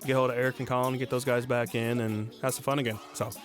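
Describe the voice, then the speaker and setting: A man co-hosting a casual music podcast, easygoing and quick to laugh, on a close microphone. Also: mid-range voice, level tone. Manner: easygoing, laughs often, signs off in a host tone. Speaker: podcast co-host.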